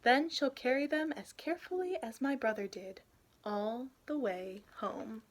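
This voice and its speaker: A young adult woman reading a picture book aloud expressively, in an animated way, for young listeners. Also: a little high, unhurried. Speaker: read-aloud storyteller, youth intern.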